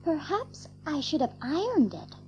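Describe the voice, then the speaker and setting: A woman's voice: high-pitched, sing-song, a little slow, darkish tone, soft background music, rough recording. A woman playing a prim and proper, motherly young girl in an audio drama of a storybook. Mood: bossy.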